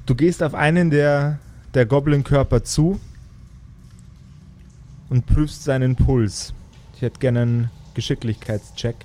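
A man narrating an improvised fantasy tabletop game as game master, in a measured, searching way. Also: medium pitch, mellow.

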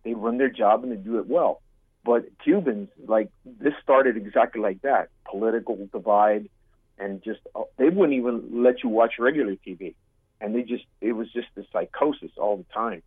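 A man born in Cuba, calling in to a radio show, speaking in an earnest, halting way that often repeats itself. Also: lowish voice, slightly rough, dry recording. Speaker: caller. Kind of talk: call-in over the phone. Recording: tinny phone line.

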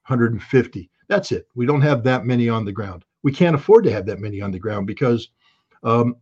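A man's voice: mid-range voice, lively intonation, fairly quick, darkish tone, slightly rough, highs cut off. A man giving blunt, emphatic and scornful political commentary as an interview guest.

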